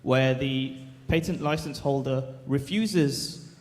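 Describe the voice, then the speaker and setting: A man's voice: mid-range voice, slow pace, darkish tone. A man in the audience asking a question from the floor, stumbling, repeating words and rephrasing. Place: large lecture hall. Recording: long hall reverb.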